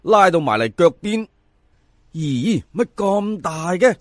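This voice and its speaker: A Cantonese man tells a folk story with lively swings of pitch. His voice sits a little high for a man, and he is theatrical and chatty, addressing the audience directly. The recording is dry and close. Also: slow pace, no room sound. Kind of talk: dramatic folk-tale narration in episodes.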